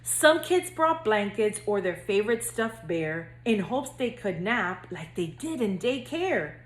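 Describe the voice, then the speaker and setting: A woman school counselor speaking to young children in a gentle, singsong read-aloud storytelling manner. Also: mid-range voice, big pitch swings, unhurried, roomy sound, a little hiss or hum.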